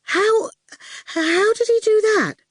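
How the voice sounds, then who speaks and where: A woman's voice: very high-pitched, slow pace, no room sound, pitched above the performer's own voice. A woman voicing a nervous, flustered young female student in an audiobook. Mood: baffled. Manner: fidgety, flustered.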